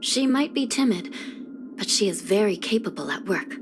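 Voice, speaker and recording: A woman playing a weary, grave young judge of the underworld in a video game, speaking softly in measured, formal phrases. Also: medium pitch, animated, natural voice. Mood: solemn, reflective. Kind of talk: scripted video game character voice lines. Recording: music under the voice. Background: background music.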